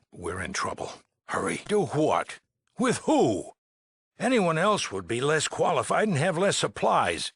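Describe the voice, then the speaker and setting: Weary, gravelly shelter leader. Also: male voice, low voice, bright, forceful tone, no room sound, exaggerated voice. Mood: grave, urgent. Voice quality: raspy, gravelly. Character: weary, anxious male leader of an underground shelter. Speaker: voice actor in a video game. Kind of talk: dramatic game dialogue acting.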